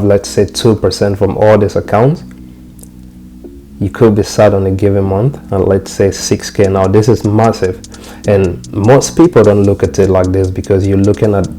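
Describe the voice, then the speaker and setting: A young man from Cameroon with a lowish, mellow voice talks viewers through his trading dashboard in a loose, repetitive way, often circling back over the same phrase. Soft music plays faintly underneath. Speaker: trading channel host. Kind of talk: casual screen walkthrough.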